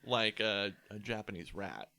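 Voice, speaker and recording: A man's voice: fairly low pitch, measured pace, vocal fry, sharp tone. A man co-hosting a gaming podcast, talking in casual chat. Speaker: podcast co-host.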